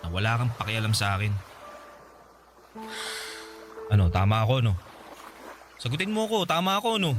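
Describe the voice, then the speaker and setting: A man's voice: lowish voice, animated, moderate pace, pauses often, over music, close to an everyday voice. Resentful, sarcastic boyfriend played by a man. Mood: sarcastic, accusing. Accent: Filipino. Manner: sarcastic, accusing. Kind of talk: Tagalog radio drama acting.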